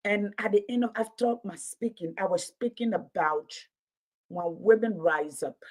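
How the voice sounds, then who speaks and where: A woman's voice: medium pitch, normal speed, a little mellow. A woman in her late fifties giving impassioned livestream commentary, preaching in repeated rhetorical build-ups. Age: 50s. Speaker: commentator and Pan-Africanism teacher.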